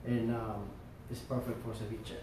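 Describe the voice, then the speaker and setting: A male chef talks through how to make a dish, step by step, pausing often on fillers. His voice sits at an ordinary middle pitch. He is heard from well away from the mic in a very echoey restaurant room, with faint noise behind him. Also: flat delivery, recorded from a distance. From Florida. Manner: explains step by step with many fillers. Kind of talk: cooking demonstration.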